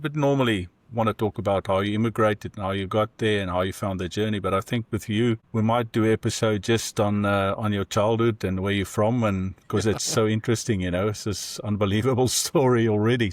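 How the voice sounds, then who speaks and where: A man's voice: lowish voice, dry recording. A man co-hosting an interview podcast, asking rambling, easygoing questions full of fillers. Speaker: podcast co-host.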